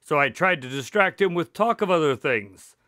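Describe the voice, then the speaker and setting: A man's voice: on the high side, animated, moderate pace, bright, forceful tone, dry recording, studio quality. A man playing an affable doctor, a detective's sidekick who recounts cases politely in a radio drama. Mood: storytelling. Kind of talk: radio drama acting and storytelling.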